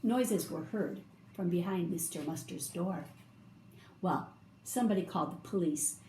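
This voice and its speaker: A woman reading a children's picture book aloud, with expressive, drawn-out emphasis for young listeners. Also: fairly low pitch. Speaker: storybook reader. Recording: roomy echo, faint background noise.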